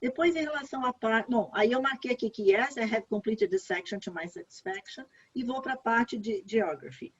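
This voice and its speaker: A Brazilian woman walks through an online application form in a relaxed, chatty way, repeating the points she wants to stress. Her voice is a little husky, and the video call audio is dull and lo-fi. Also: on the high side, normal speed, hardly any pauses. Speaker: education advisor. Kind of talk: online workshop walkthrough.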